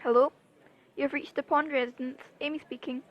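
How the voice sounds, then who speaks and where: Woman playing a wry lively young wife on the phone, really high voice, muffled lofi audio drama. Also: moderate pace, natural voice. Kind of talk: fan audio drama acting. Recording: dull lo-fi phone-call sound.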